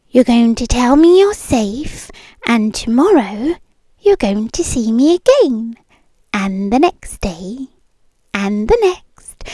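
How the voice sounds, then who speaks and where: Woman acting a slow, whispery British yandere ex-girlfriend, high-voiced. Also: no echo, full character voice. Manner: soft, coaxing, slow and deliberate, slipping into whispers. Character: possessive, obsessive yandere ex-girlfriend, tender yet menacing. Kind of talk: intimate ASMR roleplay, often dropping into whispers. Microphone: close microphone.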